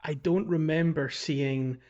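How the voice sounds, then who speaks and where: A man's voice: on the high side, steady tone, takes it slowly, darkish tone, lo-fi sound. A man co-hosting a casual video game podcast, talking in a chatty, rambling way and often correcting himself. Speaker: podcast co-host.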